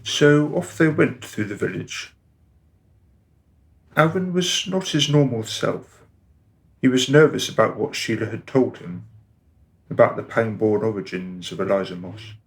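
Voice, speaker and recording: Storyteller played by a man for a family radio play, low and creaky voice, scripted narration. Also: a little slow, pauses often. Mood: narrating.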